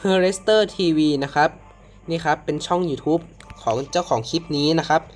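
A man from Thailand hosting a casual horror reaction video, excitable and full of exclamations. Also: on the high side, moderate pace, soft background music. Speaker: video host.

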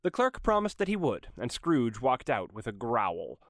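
A man's voice: mid-range voice, huge pitch swings, moderate pace, fairly bright tone, no echo, studio quality. A man giving a dramatic scripted narration of a classic story.

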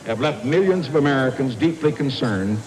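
A man's voice: medium pitch, animated, brisk. A man giving a formal, solemn political speech in an oratorical manner. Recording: reverberant hall with crowd noise.

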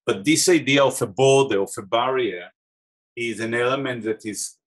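A middle-aged man from Israel with an Israeli accent, an artist talking over a video call about his films, thoughtful, pausing with fillers. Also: mid-range voice. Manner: thoughtful, many restarts and fillers. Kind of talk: talk about his own work. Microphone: video call webcam.

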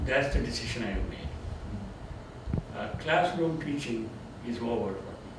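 An older man in his sixties with a Tamil accent, a retiring theology professor giving a reflective, gently self-deprecating farewell talk in a seminar room. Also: medium pitch, lively intonation, moderate pace. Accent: Tamil-accented English. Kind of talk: informal farewell lecture with Q&A. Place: seminar room, indoors, medium-sized. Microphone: far camera. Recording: echoey room from far off, background noise.

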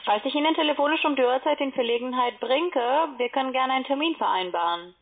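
Insistent saleswoman on a tinny phone. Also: sits high. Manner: insistent, formal, halting non-native phrasing. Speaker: call centre telemarketer. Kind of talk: telephone sales pitch. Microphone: telephone call. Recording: narrow, tinny phone line.

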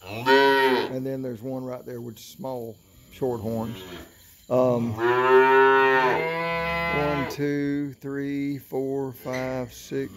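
A middle-aged man from Florida, walking through an open barn at night with a phone camera, talking casually and counting calves aloud. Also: mid-range voice. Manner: slow, unhurried country talk with fillers, counting animals aloud. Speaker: cattle seller filming his barn. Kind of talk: casual walkthrough vlog. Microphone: handheld phone camera. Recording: noisy outdoor barn phone recording.

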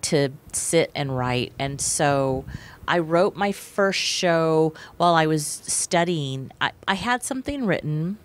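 Woman, forties, low pitch, animated guest talk, handheld mic, small studio. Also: slow pace. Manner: animated, gestures while talking. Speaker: actress and playwright guest. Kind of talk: casual interview chat. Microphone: handheld microphone.

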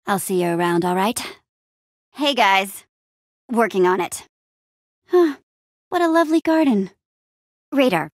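An upbeat young female adventurer from a video game. Her voice sits fairly high and swings expressively through its range, recorded close and dry. She talks in a friendly, casual, quippy way, like a small-town hero. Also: dry recording. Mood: fond, reminiscing, then cheerful, friendly. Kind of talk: video game character acting.

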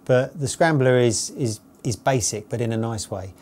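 A man's voice: medium pitch, normal speed, darkish tone. A man in his forties giving an unscripted, matter-of-fact spoken review as a motorcycle road tester. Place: studio with dark backdrop, seated at table.

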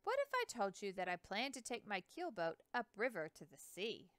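A woman's voice: mid-range voice, wildly swooping pitch, normal speed, fairly bright tone, clear, resonant tone, no room sound, studio quality. A woman voicing an earnest, courteous young male explorer who speaks eagerly and politely.

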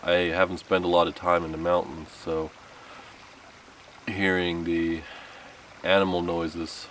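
A man's voice: low voice, flat delivery, measured pace, fairly bright tone. A man in his thirties talking casually and unhurriedly to camera as a camping vlogger, rambling a little and poking fun at himself. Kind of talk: casual vlog talk to camera. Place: outdoor wooded campsite. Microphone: camera a few metres away. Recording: distant mic with outdoor background noise.

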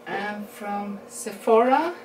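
A woman's voice: mid-range voice, far from the mic. A woman in her forties from Norway, speaking English with a Norwegian accent, chatting casually through a makeup haul and correcting herself as she goes. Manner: unhurried, chatty, self-correcting, laughs at her own English. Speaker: beauty vlogger. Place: small room indoors. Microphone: camera mic at a distance. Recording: roomy sound with a steady background hiss.

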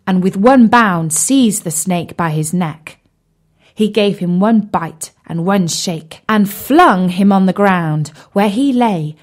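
A woman narrating a children's fairy tale as a storyteller, with an expressive, measured delivery. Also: medium pitch. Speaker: storyteller, audiobook reader.

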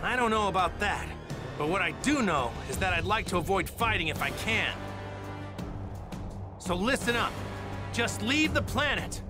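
An actor voicing an earnest, good-natured, plain-spoken martial-arts warrior in an anime game. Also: male voice, sits high, expressive, moderate pace, long pauses, over music. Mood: calm, serious. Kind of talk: anime game dub acting.

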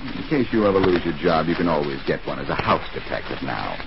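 A man's voice: rumbling low, big pitch swings, fast talker, vocal fry, exaggerated voice. An American man playing a confident, wry amateur detective in 1940s radio drama style. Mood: wry, satisfied. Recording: muffled, noisy 1940s radio recording.

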